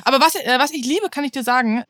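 A woman's voice: high voice, quick pace, a bit bright, dry recording, studio quality. A woman aged thirty chatting casually as a podcast co-host, frank and informal, slipping English slang into her talk. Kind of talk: casual chat.

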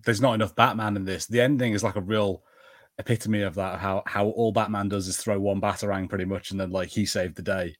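A man from the United Kingdom, chatting casually on a podcast and explaining things in a relaxed way. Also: fairly low pitch, fast talker, dry recording, clean studio sound. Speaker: podcast guest. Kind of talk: casual film-watching podcast chat.

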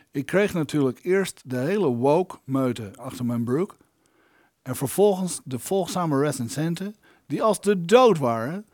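An actor playing the indignant ghost of an elderly film maker in an audio drama, wordy, aggrieved and theatrical. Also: male voice, fairly high pitch, darkish tone, no echo, clean studio sound.

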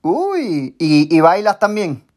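A man hosting a casual interview podcast, excitable and hyping up his guest. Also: high voice, slow pace. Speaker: podcast host and coach.

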